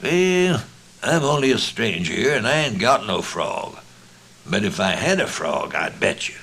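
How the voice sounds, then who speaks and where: A man voicing a doubting, deliberate stranger in an Old West tale, with an American accent and folksy drawl. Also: lowish voice, big pitch swings, creaky, a bit bright, husky, heavily acted. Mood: sad-like. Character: deliberate, doubting stranger gambler in a mining camp. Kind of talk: Old West storybook reading.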